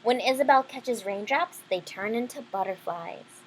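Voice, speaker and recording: A foolish, doting miller , put on by a woman reading a storybook, brags proudly. The voice is fairly high and gushing. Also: normal speed, a little hiss or hum, lightly acted, poor audio. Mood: boastful. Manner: bragging, gushing.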